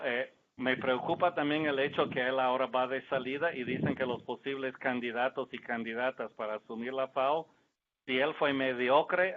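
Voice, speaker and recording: A man with a Mexican Spanish accent giving measured, candid remarks as a panelist in a discussion. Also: mid-range voice. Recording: tinny phone-like sound.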